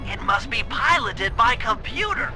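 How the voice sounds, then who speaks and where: A man's voice: very high voice, expressive, unhurried, music in the background. A man voicing a brainy, nerdy mission planner in a video game, with precise, wordy, formal phrasing. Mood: explanatory, keen.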